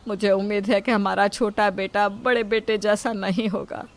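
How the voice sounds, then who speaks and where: A woman's voice: mid-range voice, little pitch movement, moderate pace, natural voice. A woman voicing a worried, self-sacrificing elderly mother in an emotional, pleading way for a moral story. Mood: worried, weary.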